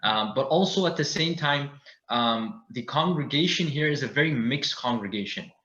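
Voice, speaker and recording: A man with a bright, forward voice talks in a soft-spoken, easygoing way. He is heard over a video call, with a roomy echo and a dull, muffled audio quality. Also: medium pitch, lively intonation, moderate pace. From Canada. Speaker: imam, interview guest.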